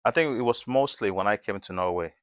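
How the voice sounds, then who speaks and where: A man in conversation as an interview guest, speaking haltingly with repeated words and frequent restarts. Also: fairly low pitch, clear, resonant tone, no room sound.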